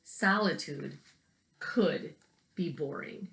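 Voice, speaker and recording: A woman reads a children's picture book aloud expressively, pausing to chat about the pictures as if to young children. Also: lowish voice, a bit bright. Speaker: read-aloud storyteller. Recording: roomy, echoing indoor recording.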